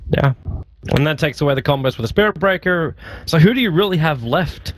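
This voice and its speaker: A man's voice: fairly high pitch, animated, fairly quick, no room sound. A man casting an esports draft in a studio, joking and teasing his co-caster. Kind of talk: casual draft commentary.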